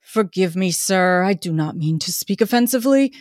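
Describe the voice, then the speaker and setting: A woman voicing a grief-stricken, earnest young gentleman in a melodramatic reading of a Victorian gothic novel. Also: fairly low pitch, expressive, no echo, clean studio sound, natural voice. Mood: pained, pleading. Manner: slow, drawn-out, overwrought melodramatic delivery.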